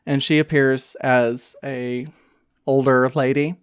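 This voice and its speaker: A woman's voice: extremely low pitch, steady tone, smooth, no room sound. Conversational woman game master, dry recording. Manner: descriptive, conversational, halting. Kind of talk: improvised tabletop game narration.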